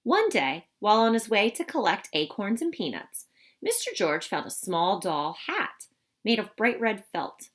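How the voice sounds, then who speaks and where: Female storybook reader, mid-pitched and highly animated, teacherly and expressive, speaking plainly for young children. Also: measured pace. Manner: expressive, teacherly, explains the pictures simply. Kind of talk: children's read-aloud with explanatory asides.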